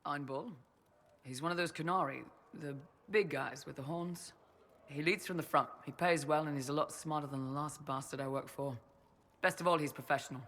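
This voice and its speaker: Bright, forceful mercenary soldier. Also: male voice, on the high side, fairly even intonation, fairly quick. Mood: confident, businesslike. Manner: blunt, plain-spoken, businesslike. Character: brisk, confident, loyal young mercenary soldier. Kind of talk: video game voice acting. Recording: faint background ambient noise.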